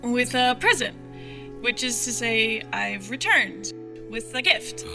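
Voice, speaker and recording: A woman plays a bold, bossy adventurer in a fantasy audio drama. Her voice is fairly high and unhurried, with mock-courtly phrasing. Music plays behind. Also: animated. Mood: ceremonious. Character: bold, bossy female crew leader, mock-formal and exasperated.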